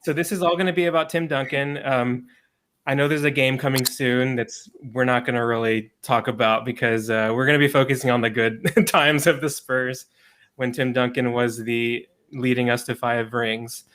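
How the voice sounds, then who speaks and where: A man hosting a casual livestream over a video call, relaxed and chatty, with frequent ums and laughs. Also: medium pitch, moderate pace, slight background noise. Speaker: livestream host and sports writer.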